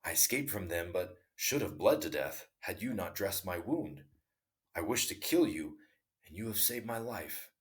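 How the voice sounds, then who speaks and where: A man's voice: low voice, big pitch swings. A man reading as a repentant wounded enemy. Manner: earnest confession, measured storybook reading.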